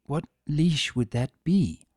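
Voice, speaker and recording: A man voicing a regal female winged pony in a fantasy story, measured, polite, calm and cautious. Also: on the high side, husky, no room sound, studio quality, pitched above the performer's own voice, exaggerated voice. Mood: hesitant. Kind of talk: dramatic fan fiction reading.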